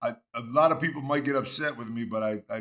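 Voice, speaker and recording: A man's voice: medium pitch, fast talker, a bit bright. A middle-aged man with a mid-range voice hosts a casual interview. He talks in a loose, chatty way and laughs easily. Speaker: interview host, former player.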